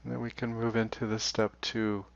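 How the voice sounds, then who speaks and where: A man leading a guided meditation in a slow, deliberate way, leaving long pauses. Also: fairly low pitch, flat delivery, creaky. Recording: dull, roomy recording.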